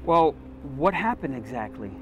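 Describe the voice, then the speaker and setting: A man playing a middle-aged police captain, calm, shrewd and skeptical, in a scripted short drama. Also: medium pitch, slight background noise, lightly acted. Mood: wary, skeptical. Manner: measured, skeptical. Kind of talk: scripted short-film acting. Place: outdoors in a parking lot.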